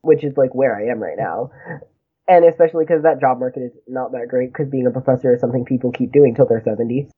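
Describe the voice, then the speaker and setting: A young man with a high voice, talking in a chatty, rambling way with lots of "like" and small laughs. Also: fast, faint music behind. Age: young adult. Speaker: guest, graduate student. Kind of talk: casual conversation. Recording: tinny phone-like husky sound.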